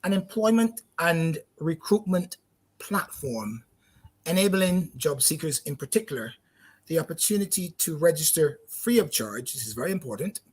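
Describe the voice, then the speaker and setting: Husky Barbadian-accented founder, chatty, restarts phrases, studio guest. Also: male voice, a little high, big pitch swings, moderate pace. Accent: light Barbadian. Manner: talkative, friendly, repeats words while thinking. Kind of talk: interview answers.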